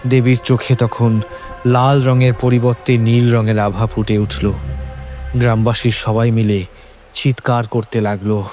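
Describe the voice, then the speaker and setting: A man's voice: medium pitch, level tone, unhurried, darkish tone. Male horror-story narrator over music. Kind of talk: dramatic scripted horror narration. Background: background music.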